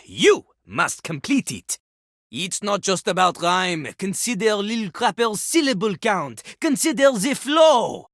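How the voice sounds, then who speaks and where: A woman's voice: lowish voice, no room sound, clean studio sound. A woman voicing a vain, scornful French-accented toilet who fancies himself a rap master, in a theatrical video game performance with a pompous, dismissive manner. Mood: pompous, demanding. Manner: pompous, speaks of himself in third person, dismissive.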